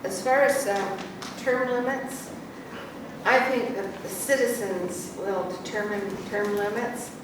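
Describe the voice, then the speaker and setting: A female council candidate answers with frequent pauses and ums. She sounds distant from the mic in a very echoey hall, with clear room noise underneath. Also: mid-range voice. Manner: hesitant, many ums. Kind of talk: debate answer. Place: public meeting hall.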